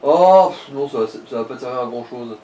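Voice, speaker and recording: A man's voice: medium pitch, animated, brisk, dark tone, a little hiss or hum. A man chatting casually as co-commentator on a video game, advising the player in slangy, mildly crude language. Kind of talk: casual gaming chat.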